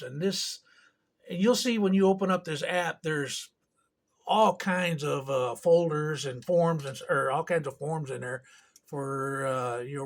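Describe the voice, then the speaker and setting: A man giving an informal step-by-step tutorial in a halting, unscripted way, thinking aloud as he goes. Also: fairly high pitch. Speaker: tutorial presenter. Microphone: camera on a tripod.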